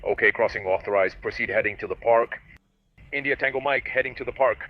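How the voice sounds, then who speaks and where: A man's voice: mid-range voice, brisk, lo-fi sound. A helicopter pilot talking over his headset. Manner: explains to viewers, reads crisp radio phraseology. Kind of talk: casual cockpit commentary with radio calls. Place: helicopter cockpit in flight.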